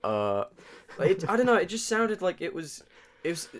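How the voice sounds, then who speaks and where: A man chatting casually as a podcast co-host reviewing films, excitable and free with swearing like a keen fan. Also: sits high, moderate pace. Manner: profane, excitable fan talk. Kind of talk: casual chat.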